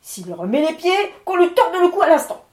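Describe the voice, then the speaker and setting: A woman's voice: a little fast, dark tone, lightly acted. A woman voicing an irascible male wind spirit lord who thunders out threats and scolding. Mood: furious. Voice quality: booming, thundering. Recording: roomy echo.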